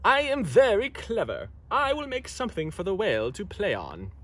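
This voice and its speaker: A man voicing a proud, clever storybook inventor with a boastful, brisk manner. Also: high voice, huge pitch swings, a bit bright, voice pushed up, exaggerated voice. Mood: proud, eager.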